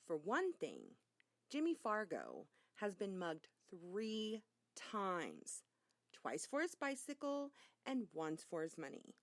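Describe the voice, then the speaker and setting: A woman reading a children's story aloud as a narrator, in an expressive storytelling way. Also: mid-range voice, slow pace, no room sound, studio quality. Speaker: reader.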